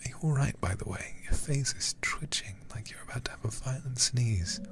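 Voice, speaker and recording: A man voicing a concerned, formal adult questioning a child in a measured, proper way. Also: medium pitch, animated, whispered, natural voice.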